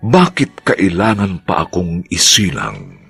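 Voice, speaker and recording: A man with a Filipino accent announcing a radio drama in a grand, theatrical, drawn-out style. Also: deep voice, wildly swooping pitch, creaky, a bit bright, slightly rough, dry recording. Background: background music.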